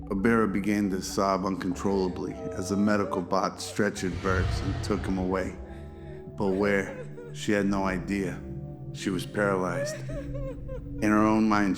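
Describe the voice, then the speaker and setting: A man reading scripted narration for a radio drama. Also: sits low.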